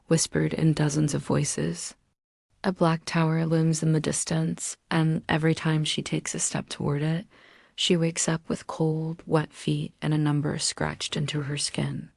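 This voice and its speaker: A woman with a low voice narrates a scary story as its host. There is steady fry in her tone, and she keeps her pitch within a narrow band. She speaks deliberately, leaving dramatic pauses between phrases. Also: no room sound, studio quality. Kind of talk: scripted horror story narration.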